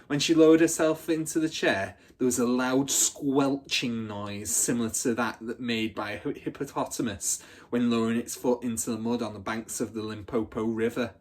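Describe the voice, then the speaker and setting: Man acting a husky, scornful schoolgirl bully. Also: mid-range voice, steady tone, moderate pace, close to an everyday voice. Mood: mocking. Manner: scornful, relishing grisly details. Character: rugged ten-year-old bully girl, scornful, boastful, dramatic.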